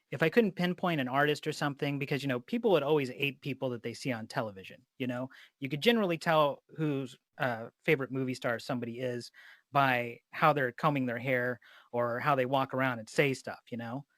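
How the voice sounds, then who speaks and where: A man's voice: mid-range voice, fairly bright tone, dry recording. A middle-aged man hosting a casual interview, talking in a rambling, unfiltered way with some swearing. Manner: rambling, profane.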